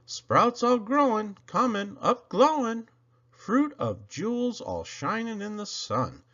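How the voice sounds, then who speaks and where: Male storybook reader, highish and hugely animated, playful theatrical emphasis, slightly muffled recording. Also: slow pace. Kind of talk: expressive children's poetry read-aloud.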